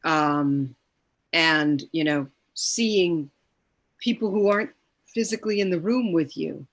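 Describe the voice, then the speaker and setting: A woman speaking as an actor reflecting in casual discussion, deliberate, pausing to search for her words. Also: low voice.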